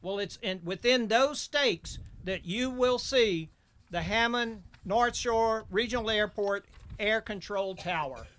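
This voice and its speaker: A man's voice: high voice, takes it slowly, fairly bright tone. A middle-aged man giving a folksy welcome speech as master of ceremonies.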